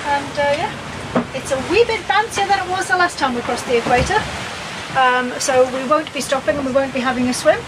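Chatty woman over loud sea wind. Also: sits high. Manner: chatty, playful, self-mocking. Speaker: sailing vlogger. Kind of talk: casual chat on camera. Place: outdoors on a sailboat at sea. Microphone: handheld action camera. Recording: loud wind and wave noise. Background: loud wind and waves.

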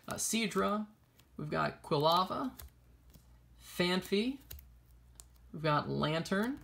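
A man's voice: a little high, gravelly, a bit bright. A young man giving casual, chatty show-and-tell commentary as an enthusiastic collector hosting a video. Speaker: trading card collector and video host. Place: indoors, small room at a table.

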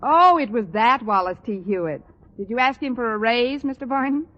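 An actress playing a wisecracking American schoolteacher with dry, arch delivery in a 1940s radio sitcom. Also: female voice, fairly high pitch, expressive, normal speed, big-room sound, highs cut off, natural voice. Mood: curious, teasing. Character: wry, wisecracking adult woman high school teacher. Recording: noisy band-limited 1940s radio recording.

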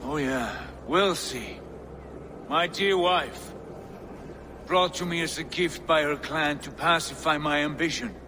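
Slow, solemn, grandiose Viking lord. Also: male voice, a little high, noisy background. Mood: bitter sarcasm. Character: haughty, wounded Viking lord convinced he is a god. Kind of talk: dramatic video game acting.

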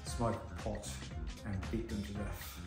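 Deadpan man in an echoey room. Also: lowish voice, slow. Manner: dry, deadpan joking delivery. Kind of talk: casual deadpan storytelling. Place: inside a brick workshop room. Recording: roomy echo with music over it.

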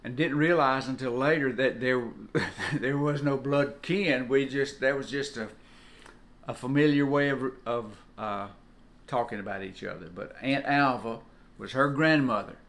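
Older man, medium pitch. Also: a little slow, fairly bright tone. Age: older, about 70s. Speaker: co-host husband. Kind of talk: casual conversational asides. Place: home kitchen, indoors.

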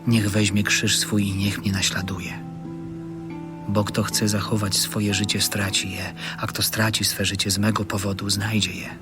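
Man acting solemn holy teacher, audio Bible drama, lowish voice, even intonation, music behind. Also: moderate pace, close to an everyday voice. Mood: solemn teaching. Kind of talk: dramatic scripture reading.

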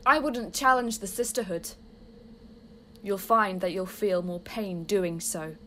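A woman's voice: medium pitch, unhurried, bright, forceful tone, a little hiss or hum. A woman playing a stern, menacing nun in an audio drama, speaking formally and with command. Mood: cold, threatening. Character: stern, menacing nun of a death-worshipping sisterhood.

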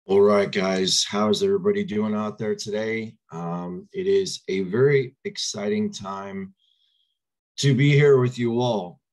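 Man, 40s, low voice, a bit hoarse, upbeat webinar host on a webcam. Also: measured pace, a little mellow. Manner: upbeat, coaxes audience to reply in chat. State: slightly hoarse. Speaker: crypto presenter. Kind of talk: webinar presentation. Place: small home room, indoors. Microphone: webcam on video call.

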